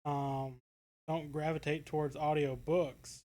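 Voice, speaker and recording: American man, fairly high-pitched, casual podcast co-host, hesitant circling phrasing. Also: level tone, slow, dark tone. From United States.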